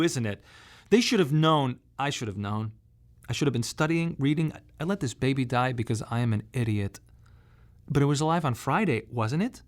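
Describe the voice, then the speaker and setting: A grieving young father in a radio play. His voice sits somewhat high for a man, and he speaks haltingly, questioning himself with raw, anguished feeling. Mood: panicked, guilty. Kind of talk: radio drama monologue.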